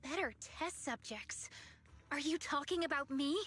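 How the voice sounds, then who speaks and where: High, bright, defiant young heroine. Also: female voice, normal speed, faint music behind. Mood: guarded, questioning. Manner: earnest, resolute, confronting. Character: principled, defiant young heroine protecting her tribe and friend. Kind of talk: dramatic video game voice acting.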